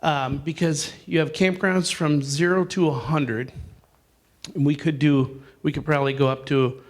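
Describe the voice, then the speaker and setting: A man leads an informal group discussion in a meeting room. His voice sits a little higher than most, and he rambles along with fillers and restarts. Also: steady tone, a little slow.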